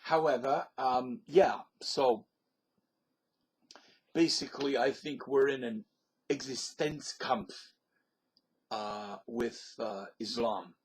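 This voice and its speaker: A man's voice: mid-range voice, slow, husky, low-quality recording. A man from the southern United States talking in a casual, rambling monologue in a put-on accent, hesitant and full of fillers. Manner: hesitant, many fillers and restarts; talks in a put-on, not his own accent. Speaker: vlogger.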